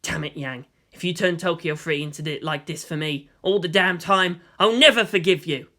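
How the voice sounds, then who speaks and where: A high-pitched teenage boy from an audiobook tale, put on by a male narrator. He sounds put-upon and sarcastic, a weary reluctant mastermind given to groaning complaint. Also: moderate pace, bright, forceful tone. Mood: bitter, annoyed. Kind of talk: dramatized audiobook dialogue.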